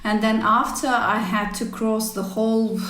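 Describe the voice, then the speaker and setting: A woman, telling her story as an interview guest in halting, emphatic English. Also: on the high side, little pitch movement, fairly bright tone. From former Soviet Union. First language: not English. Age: 40s. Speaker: podcast guest, cycling team executive. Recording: roomy, echoey sound.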